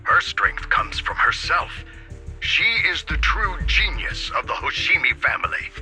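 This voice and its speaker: A man's voice: on the high side, big pitch swings, measured pace, bright, forceful tone, faint music behind, full character voice, poor audio. Stern, piercing father. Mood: stern, solemn. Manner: solemn, emphatic. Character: grave, stern father of swordswoman heroine. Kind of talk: formal scripted game dialogue.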